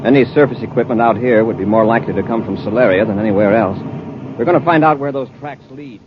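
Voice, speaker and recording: A brisk, decisive space patrol commander played by a male actor in a 1950s radio serial. He talks fast in a mid-range male voice with calm, clipped authority. The old recording is dull and heavily noisy. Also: medium pitch, natural voice. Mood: calm, intent. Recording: muffled old radio recording, loud noise.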